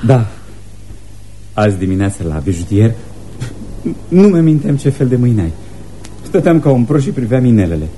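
Actor as devoted young man, shy, tender, very mellow voice, radio play, noisy recording. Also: medium pitch, sing-song, long pauses, lightly acted. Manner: hesitant, tender, earnest. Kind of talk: radio theatre acting.

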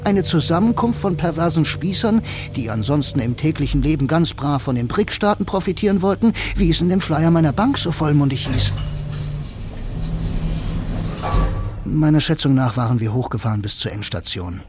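Actor as wry noir loner narrating over music. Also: male voice, a little high, lively intonation, brisk, few pauses, natural voice. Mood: uneasy, wry. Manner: dry, laconic, musing in clipped fragments. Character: blind male bank clerk, wry film noir loner. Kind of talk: first-person radio drama narration.

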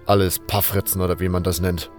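A man's voice: low voice, flat delivery, fairly quick, darkish tone, faint music behind, voice pushed down, close to an everyday voice. A man voicing a blunt, unschooled adventurer in an audio drama, rambling along in a colloquial, unbothered way. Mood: musing, offhand. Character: gruff, carefree male adventurer, unschooled and blunt. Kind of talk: fan audio drama dialogue.

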